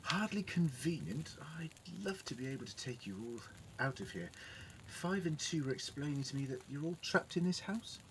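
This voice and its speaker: A man's voice: a little high, animated, moderate pace, a little hiss or hum, lightly acted, poor audio. A man playing an eccentric, polite alien time-travelling scientist in an audio drama, with a precise, wordy manner. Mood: concerned. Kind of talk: fan audio drama acting.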